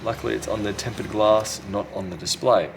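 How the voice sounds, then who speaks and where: A man's voice: lowish voice, steady tone, brisk, creaky, background noise. A man talking casually and thinking aloud as he presents a hands-on repair tutorial. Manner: unscripted, thinking aloud while working. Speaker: repair technician, video host. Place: indoors at a repair workbench.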